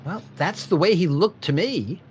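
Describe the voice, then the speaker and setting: An actor playing a shaken factory owner in a 1940s-style radio drama, talking in a hesitant, stammering way. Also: male voice, sits high, expressive, moderate pace, darkish tone, a little hiss or hum. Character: shaken middle-aged male factory owner robbed of payroll.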